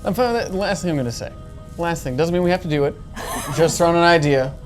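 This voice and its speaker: A man's voice: fairly high pitch, quick pace. Man reasoning through a game plan. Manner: reasoning, persuasive. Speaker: tabletop role-playing player. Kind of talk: casual in-character group discussion. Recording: background music under the voice.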